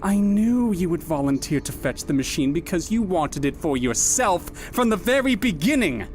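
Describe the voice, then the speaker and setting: A woman playing a sly, smug fox schemer with a measured drawl in a sci-fi audio drama. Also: fairly low pitch, sing-song, a little mellow, faint music behind.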